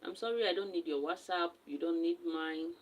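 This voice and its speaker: A woman's voice: on the low side, moderate pace, a little hiss or hum. A woman from Nigeria hosting a casual live stream, who has just woken up and reads viewer comments aloud and answers them directly. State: just woke up.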